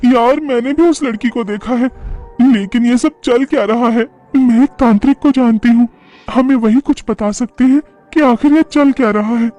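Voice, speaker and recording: A man's voice: very high voice, normal speed, mellow, faint music behind, pitched above the performer's own voice. A man voicing a worried, chatty female neighbour who confides in her friend in a horror cartoon. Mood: alarmed.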